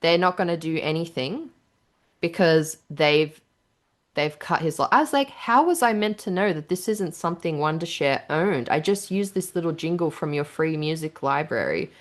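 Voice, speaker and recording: A woman co-hosting a podcast in casual chat, candid and giggly, with a low voice and casual swearing. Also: normal speed. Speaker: podcast co-host.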